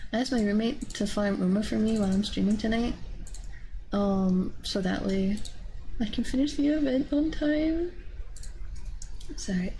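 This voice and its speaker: A young adult woman chatting casually on a livestream, rambling and excitable with a giggly manner, close on a binaural microphone. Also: medium pitch, a little hiss or hum, low-quality recording. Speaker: VTuber livestreamer. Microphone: close binaural ASMR microphone.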